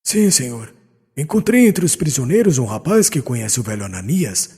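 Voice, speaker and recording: Male, actor as obedient aide in audiobook drama; lively mid-pitched delivery, husky, humble, drenched in long reverb. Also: normal speed. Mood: deferential. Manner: deferential.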